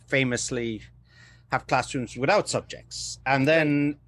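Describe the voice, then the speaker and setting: A man's voice: medium pitch, fairly bright tone. A man hosting an interview, asking questions with hesitant uhs between phrases. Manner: hesitant, fills pauses with uh. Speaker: podcast host, interviewer.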